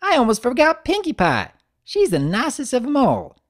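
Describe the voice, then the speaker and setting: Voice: sits high, huge pitch swings, normal speed, voice pushed up. A narrator voicing an eager, chatty young filly with folksy manners in a cartoon fan-fiction reading. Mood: bright, cheerful. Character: perky young filly, country pony little sister.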